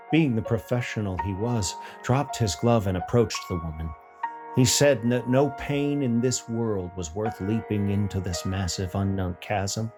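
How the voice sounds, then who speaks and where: A man with a lowish voice reads a scripted piece of literary fiction. Faint music runs behind him as he narrates the story. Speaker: fiction reader.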